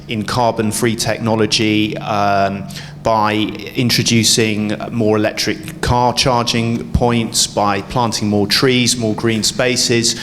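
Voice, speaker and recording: Male candidate, brisk point-by-point delivery, echoey hall. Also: fairly low pitch, fairly bright tone. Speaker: parliamentary candidate. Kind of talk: debate answer at a hustings. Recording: echoey hall with faint hum.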